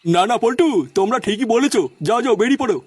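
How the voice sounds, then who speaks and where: A man voicing an excitable, easily flustered doctor in a Bengali cartoon comedy, with a husky voice. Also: extremely high pitch, quick pace, dry recording. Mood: polite, grateful.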